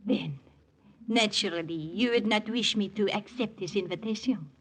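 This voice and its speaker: An actress playing an alluring, shrewd French woman in a 1940s radio drama, teasing in measured, formal English with a French accent. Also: medium pitch, slightly rough, lightly acted. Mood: teasing, coy.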